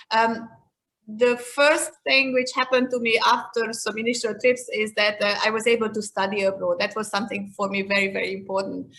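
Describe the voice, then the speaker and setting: A woman's voice: a little high, fairly bright tone. A woman in her fifties from Hungary with a Hungarian accent, answering interview questions in a reflective, conversational way. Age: 50s. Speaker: interview guest, leadership expert.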